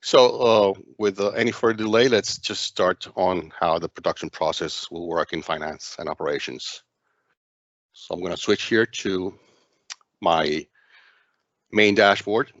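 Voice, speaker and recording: Low-voiced man presenting a software demo. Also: a little slow, no room sound, muffled recording. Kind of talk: walkthrough narration of a software demo.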